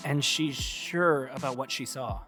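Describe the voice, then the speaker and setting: A man's voice: medium pitch, measured pace, pushed and bright, soft background music. An actor playing a guarded young man in an audio drama, talking informally and hesitantly like a hurt but loyal friend. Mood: curious. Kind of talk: conversational audio drama acting.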